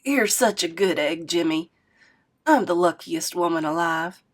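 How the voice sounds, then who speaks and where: A woman's voice: fairly low pitch, expressive, measured pace. A woman reading aloud and voicing a spunky, playful elderly grandmother who talks in a sassy, folksy way.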